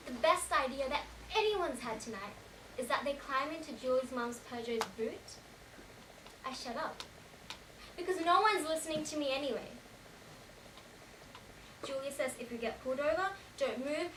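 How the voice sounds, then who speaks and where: A young woman playing a shaken teenage girl in a dramatic monologue, telling her story in a colloquial, run-on way. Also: high voice. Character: shaken teenage girl recounting a car crash. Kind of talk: dramatic stage monologue, first-person storytelling. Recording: echoey room, distant mic, faint noise.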